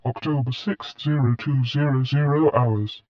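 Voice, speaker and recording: An actor playing an impersonal ship's log announcer in an audio drama, reading out in a flat, formal, clipped military way through a radio filter. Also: male voice, medium pitch, normal speed. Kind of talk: clipped military timestamp readout. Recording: muffled radio with loud static and beeps.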